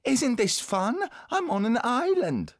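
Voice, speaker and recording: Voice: mid-range voice, big pitch swings, takes it slowly, pitched above the performer's own voice. A reader playing an eager, tender-hearted young boy in a children's storybook, earnest and exclamatory. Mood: excited.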